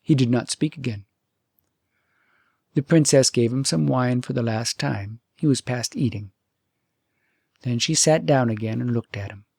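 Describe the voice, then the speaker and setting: Gravelly male fairytale storyteller. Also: medium pitch, unhurried, pauses often, darkish tone, dry recording, studio quality. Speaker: audiobook storyteller. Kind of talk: scripted fairytale narration.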